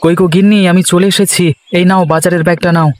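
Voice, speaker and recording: A man's voice: high voice, flat delivery, quick pace, a little hiss or hum, natural voice. A man voicing a dutiful, good-natured husband and nephew in a cartoon, obliging and eager to explain. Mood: placating. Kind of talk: cartoon voice acting.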